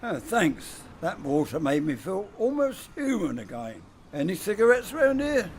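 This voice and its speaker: An actor playing a wisecracking secret agent in an amateur radio drama. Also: male voice, high-pitched, very animated, a little slow, a little mellow, a little hiss or hum. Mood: wry. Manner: wisecracking, reacting with alarm in tense scenes.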